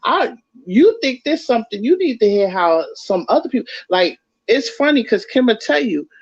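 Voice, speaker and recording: A woman's voice: fairly high pitch, big pitch swings, normal speed, highs cut off. A middle-aged woman with a Bronx New York accent, co-hosting a casual live chat, playful and insistent, laughing often. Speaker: co-host of a live chat show.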